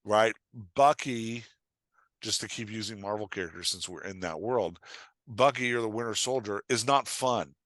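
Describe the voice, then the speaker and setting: A man's voice: fairly low pitch, moderate pace, fairly bright tone, no room sound. A man talking casually as a podcast guest, explaining with examples in an emphatic, storytelling way and often checking in with his listener. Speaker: podcast guest, writing coach and author.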